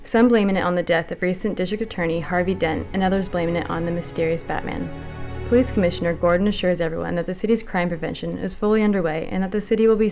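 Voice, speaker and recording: A man's voice: sits high, vocal fry, a little mellow. A man playing a formal news reader delivering a scripted bulletin in an audio drama, with dull broadcast sound.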